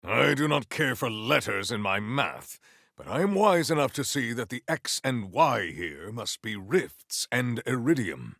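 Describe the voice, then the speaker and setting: An actor playing a proud, battle-hungry warrior in a video game, with formal, stilted and grandiose diction. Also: male voice, medium pitch, sing-song, a little slow, creaky, fairly bright tone, no room sound, clean studio sound, full character voice. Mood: earnest. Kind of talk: scripted video game character lines.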